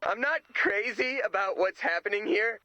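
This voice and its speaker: An actor playing a nervous, tactless young male camper who babbles anxiously, in an animated video game style. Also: high-pitched, normal speed, no echo, poor audio. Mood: smug, awkward.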